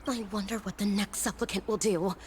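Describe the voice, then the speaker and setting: A woman's voice: mid-range voice, fairly even intonation, fairly quick, a little hiss or hum, lightly acted. An actress playing a gossipy, alarmed young woman passing by in a video game city. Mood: shocked, gossipy.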